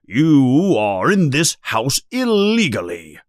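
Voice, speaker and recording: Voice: mid-range voice, very animated, slow, fairly bright tone, dry recording, studio quality, pitched above the performer's own voice, full character voice. A man voicing a bossy, cowardly, blustering uncle in a storybook, indignant and commanding.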